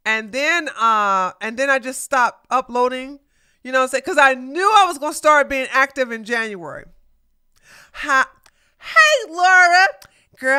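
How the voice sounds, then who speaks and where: Lively high-voiced woman streamer. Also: smooth. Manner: animated, greets viewers with loud high exclamations; playful and laughing, teasing her chat. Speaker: livestream host. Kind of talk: casual livestream chat, reading and answering viewer messages.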